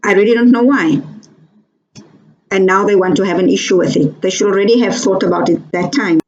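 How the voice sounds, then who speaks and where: A South African woman, a native Afrikaans speaker, talking casually to her viewers with pauses and asides. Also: mid-range voice, a little mellow, dull audio. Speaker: vlogger. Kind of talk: casual commentary to camera.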